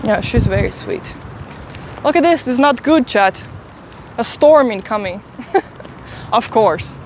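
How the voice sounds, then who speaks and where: A woman's voice: fairly high pitch, animated, measured pace, poor audio. A woman with a slight Swedish accent chatting casually to her stream viewers outdoors, talking through a phone at arm's length. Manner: chatty, self-deprecating, talks to chat while eating. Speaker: travel streamer. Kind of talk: casual live-stream chat. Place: outdoors on a city street. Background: city traffic and street noise.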